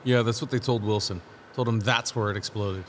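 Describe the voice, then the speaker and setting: A man's voice: fairly low pitch, fairly even intonation, a little fast, slight background noise, lightly acted. A man playing a composed federal agent, narrating an investigation in a terse, matter-of-fact way in an audio drama. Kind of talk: first-person audio drama narration and dialogue.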